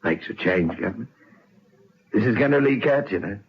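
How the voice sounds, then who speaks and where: An actor playing an insolent Cockney convict in a radio drama, a mocking lifer with a sardonic, cheeky manner. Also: male voice, lowish voice, expressive, normal speed, slight background noise, close to an everyday voice. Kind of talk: old radio drama acting. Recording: dull lo-fi vintage radio recording.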